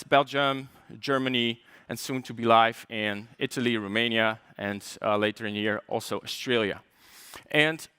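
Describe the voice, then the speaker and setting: A man's voice: medium pitch, pushed and bright, dry recording. A man from Poland with a light Polish accent, giving a conference tech talk in a chatty way, with joking asides, fillers and restarts. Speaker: conference speaker.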